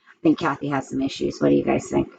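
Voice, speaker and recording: A woman's voice: very deep voice, completely flat delivery, quick pace, creaky, darkish tone, muffled recording. A woman reading a children's storybook aloud, expressively and with asides, speaking straight to young listeners.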